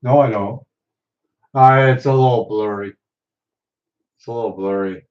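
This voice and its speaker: A man in his late sixties chatting casually with viewers during a livestream, halting and trailing off as he rambles. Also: medium pitch, a little mellow. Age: 60s. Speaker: livestream host. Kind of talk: casual live chat with viewers. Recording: distant, dull lo-fi sound.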